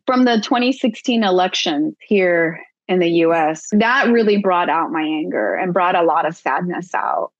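Interview guest, woman, lowish voice, earnest reflective talk, dry and slightly dull sound. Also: animated, normal speed, hardly any pauses, no room sound. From United States. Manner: reflective, earnest, many fillers. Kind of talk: casual interview conversation.

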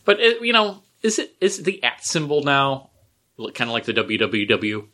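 Podcast host, male, lively and bright, laughs easily mid-story. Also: mid-range voice, moderate pace. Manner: animated storyteller who laughs often. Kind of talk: casual podcast chat.

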